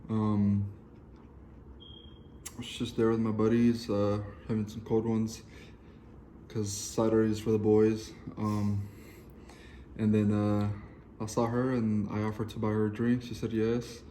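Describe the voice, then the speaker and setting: Laid-back man, low mellow voice. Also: completely flat delivery, a little slow, a little hiss or hum. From Denver City, Texas. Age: 20s. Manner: laid-back, joking, swears casually. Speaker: co-host of a couples channel. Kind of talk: casual chat while eating.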